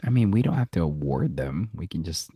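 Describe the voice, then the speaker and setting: A man's voice: sing-song, fairly quick, mellow, slightly rough, no room sound. A man co-hosting a podcast, chatting playfully and laughing often while recapping a TV episode. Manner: playful, laughs often, jumps up in pitch for emphasis. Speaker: podcast co-host. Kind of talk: casual chat recapping a TV episode.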